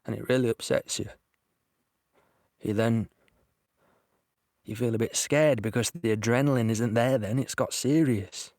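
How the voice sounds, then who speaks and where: An actor playing a young British soldier, earnest and shaken, recalling the war haltingly in an audio drama. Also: male voice, medium pitch, a little mellow, no room sound, clean studio sound, natural voice. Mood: sombre. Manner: halting, plain-spoken, reflective. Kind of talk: audio drama monologue, conversational recollection.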